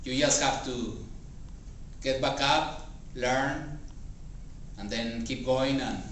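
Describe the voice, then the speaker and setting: A man who speaks English as a second language gives a personal motivational speech to a live audience, halting and pausing deliberately as he goes. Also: mid-range voice, fairly bright tone. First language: not English. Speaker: club member giving a practice speech. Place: indoor meeting room before a small audience. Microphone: distant camera or room microphone. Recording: very echoey room, distant mic, faint noise.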